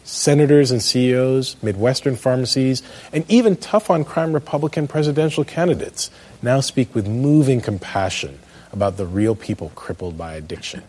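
An American man in middle age reading a scripted spoken essay as a commentator, with emphatic, measured phrasing. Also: mid-range voice, darkish tone. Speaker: commentator, law professor.

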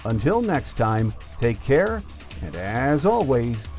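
Male sports podcast host with medium pitch. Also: big pitch swings, takes it slowly, darkish tone, faint music behind. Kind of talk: scripted sports show narration.

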